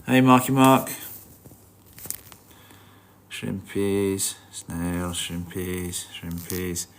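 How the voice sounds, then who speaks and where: A man's voice: sits low, drawn-out words. A man with a nasal, stuffed-up voice from a cold, chatting informally on a livestream and muttering asides to himself. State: has a head cold. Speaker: livestream host. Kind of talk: casual livestream chat, greeting viewers while looking over fish tank.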